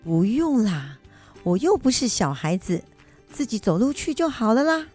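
A woman's voice: on the high side, very animated, moderate pace, a little mellow, soft background music. A woman playing a stubborn, cheerful grandmother in a family radio drama, insistent and colloquial. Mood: protesting. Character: stubborn, cheerful grandmother determined to go back to school.

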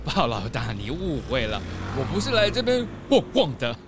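A man's voice: medium pitch, wildly swooping pitch, normal speed, noisy background. Jovial, swooping cartoon patrolman. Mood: affable. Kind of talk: children's audio drama acting.

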